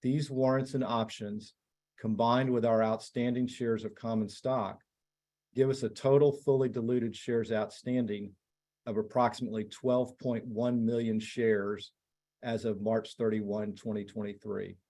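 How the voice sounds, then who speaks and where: A man, a finance chief, formally reading a scripted financial report in a measured, matter-of-fact way. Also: mid-range voice, level tone, dark tone.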